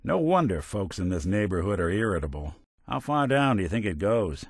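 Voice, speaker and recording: Weary robot noir detective for a game, low and dry humored, pitch swings around, super dry studio sound. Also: male voice, very animated, fairly quick, no echo. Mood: wry, world-weary. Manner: dry, sardonic, wry asides. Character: world-weary robot private detective, wry, middle-aged male. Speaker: companion character in a video game.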